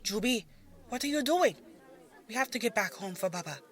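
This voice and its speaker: A woman's voice: fairly high pitch, lively intonation, normal speed, a bit bright, some chatter behind, pitched above the performer's own voice. A woman voicing a weary, stern middle-aged mother who scolds her daughter with exasperation. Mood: urgent.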